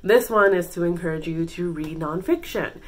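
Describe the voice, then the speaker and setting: A woman with a slightly low voice talks through her book picks for the month in a loose, chatty way. She is still congested from a cold, so her voice sounds a bit stuffy. She fills pauses with ums and often stops to correct herself. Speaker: book channel host. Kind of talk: casual book recommendations.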